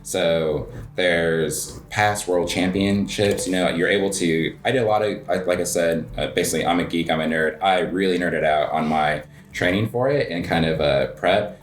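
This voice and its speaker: A man, perhaps in his thirties, chatting casually as an interview guest about coffee, rambling with lots of filler words. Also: deep voice. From United States. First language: English. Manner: relaxed, rambling, heavy filler words, self-deprecating laughs. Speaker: guest, cafe owner and coffee competitor.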